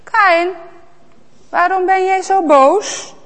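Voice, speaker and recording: Woman doing a fatherly deity, slow and earnest, in a reverberant church. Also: very high voice, pitched above the performer's own voice, heavily acted. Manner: gentle warning, earnest. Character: God, kind but stern fatherly deity.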